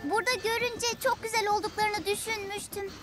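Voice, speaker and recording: A kind, curious girl steam engine in a children's cartoon. The voice is extremely high, friendly and encouraging, with loud music underneath. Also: fairly even intonation, brisk. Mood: cheerful.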